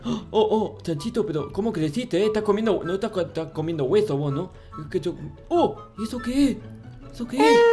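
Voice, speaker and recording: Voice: medium pitch, lightly acted. A performer voicing a naive, easily frightened childlike boy in a Minecraft roleplay, whiny and stammering as words repeat. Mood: nervous, hushed. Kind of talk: improvised in-character gaming roleplay.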